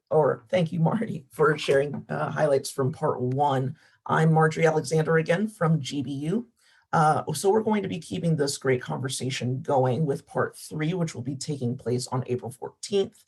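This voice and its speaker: Hoarse, gravelly woman organizer. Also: low voice, normal speed, a little mellow. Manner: polite, apologetic, fillers. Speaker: event organizer. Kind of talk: webinar announcement.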